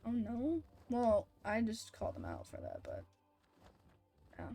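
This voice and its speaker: A woman's voice: fairly high pitch, wildly swooping pitch, measured pace, creaky, mellow. A woman chatting casually on a live game stream, making short, informal, reactive remarks. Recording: music behind the voice.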